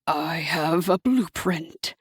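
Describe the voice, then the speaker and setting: A woman voicing a menacing male devil who whispers slowly and sinisterly to his daughter. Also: low voice, animated, husky, dry recording, studio quality, voice pushed down, exaggerated voice. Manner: soft sinister whisper, slow and drawn out.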